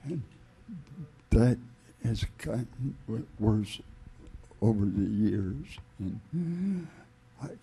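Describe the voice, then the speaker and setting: A man in his seventies with a dark, gravelly voice, a husky rasp and a very slow pace. He introduces himself haltingly, with many repeated starts. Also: fairly low pitch, lively intonation. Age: 70s. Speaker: conference attendee. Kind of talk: self-introduction.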